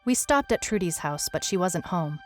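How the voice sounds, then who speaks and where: A woman's voice: fairly low pitch, big pitch swings, moderate pace, soft background music. A woman playing a wry detective who recounts a strange case in a dry, storytelling way, as scripted audio drama narration. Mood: wry, matter-of-fact.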